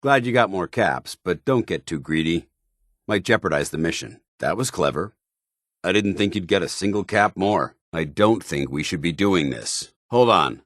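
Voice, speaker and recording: An actor playing a stern, loyal soldier in a video game, speaking in a clipped, military, commanding manner. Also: male voice, low voice, expressive, normal speed, a bit bright, no room sound, studio quality. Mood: urgent, commanding. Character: stern, loyal male soldier, zealous armored paladin companion. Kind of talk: scripted video game companion dialogue.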